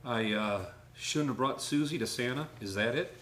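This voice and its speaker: A woman with a mild, hesitant manner playing a polite, apologetic gentleman in a radio play. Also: very deep voice, normal speed. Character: polite, apologetic, well-meaning gentleman neighbour. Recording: roomy, echoing sound.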